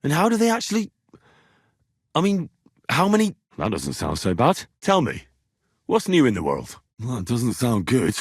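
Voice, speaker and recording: A young British blacksmith's son in a medieval role-playing game. His voice is husky and very lively in its rise and fall, with an earnest, curious, plain-spoken manner. The sound is dry and close. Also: medium pitch, moderate pace, long pauses, dry recording, full character voice. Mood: curious, conversational. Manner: plain-spoken, inquisitive, informal. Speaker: video game voice actor. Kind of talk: game dialogue lines.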